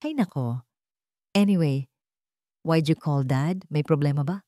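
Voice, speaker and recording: A woman's voice: low voice, unhurried, dark tone, no room sound, clean studio sound. A woman voicing a spoiled, self-absorbed adult daughter in an audio drama, breezy and dismissive, mixing in English slang. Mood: offhand.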